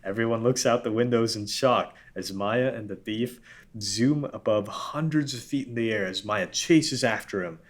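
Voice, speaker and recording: A man's voice: fairly low pitch, normal speed. A man narrating a script, reading out scene headings and stage directions. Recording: background room noise.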